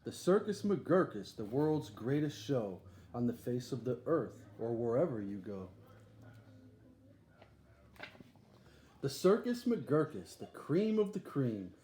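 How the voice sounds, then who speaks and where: A man's voice: mid-range voice, very animated, a little slow, few pauses, mellow. A man voicing an eager, boastful boy showman in a storybook, barking grandly like a ringmaster. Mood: grandiose, excited. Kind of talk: storybook read-aloud character. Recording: echoey room, faint background noise.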